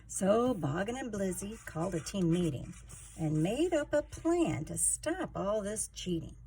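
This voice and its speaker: Expressive, animated woman storyteller. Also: mid-range voice, unhurried, a little hiss or hum. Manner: theatrical, emphatic. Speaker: children's story reader. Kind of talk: expressive rhyming storybook narration.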